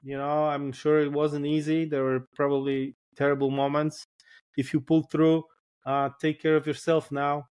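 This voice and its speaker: A man's voice: fairly high pitch, level tone, normal speed, a little mellow, clean tone. A man with a Polish accent, a firefighter guest on a podcast, speaking thoughtfully and often repeating words as he searches for phrasing. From Poland. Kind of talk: casual podcast interview.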